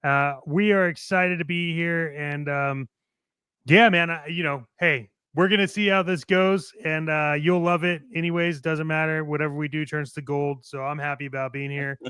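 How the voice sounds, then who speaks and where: A man's voice: on the high side, fairly even intonation, normal speed, sharp tone, smooth, dry recording. A man co-hosting a casual toy collecting show, speaking with enthusiastic, cocky, jokey hype. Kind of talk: casual radio show chat and playful ad reads.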